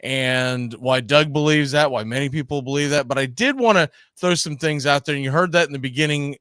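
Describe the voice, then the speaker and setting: A man's voice: a little high, brisk, sharp tone, no echo, studio quality. A man hosting a baseball talk show in a casual, rambling way. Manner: informal, rambling. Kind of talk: casual live show chat.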